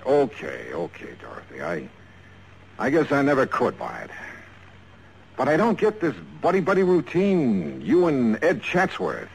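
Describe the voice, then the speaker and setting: An actor playing a tough insurance investigator in 1950s radio drama style, with a clipped, wry American delivery. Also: male voice, mid-range voice, huge pitch swings, a little slow, vocal fry, a little hiss or hum, heavily acted, poor audio. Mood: probing, intent. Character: tough, hard-boiled male insurance investigator narrating his case. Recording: 1950s radio recording with music.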